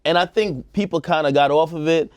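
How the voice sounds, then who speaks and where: A man's voice: a little high, brisk, dry recording. A man with an American accent giving casual opinions as a talk show co-host, slangy and acting out quotes. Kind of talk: casual opinionated panel chat.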